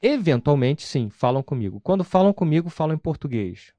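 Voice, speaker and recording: A man's voice: a little high, big pitch swings, brisk, dark tone, dry recording. Brazilian man explaining things emphatically. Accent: Brazilian, Carioca. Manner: emphatic, explanatory, asks and answers own questions. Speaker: interview guest. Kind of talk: conversational testimony about personal faith.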